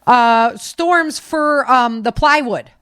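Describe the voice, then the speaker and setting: A woman's voice: a little high, slow, a bit bright, smooth, dry recording, clean studio sound. A woman hosting a podcast, chatting casually and giving practical tips in an informal, folksy, rambling way. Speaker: podcast host.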